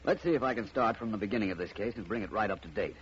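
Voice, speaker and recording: A man playing a suave, deep-voiced gentleman detective. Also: rapid-fire, lightly acted. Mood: explaining. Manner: smooth, assured, explaining with relish. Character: suave, cool-headed male amateur detective. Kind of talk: 1940s radio acting. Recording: muffled old radio broadcast, faint hiss.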